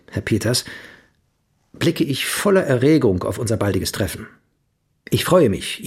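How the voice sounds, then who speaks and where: A man's voice: lowish voice, breathy edge, exaggerated voice. An actor playing an obsessive, formal archive researcher, narrating his letter in a bookish, theatrical way for a radio drama. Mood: excited, formal.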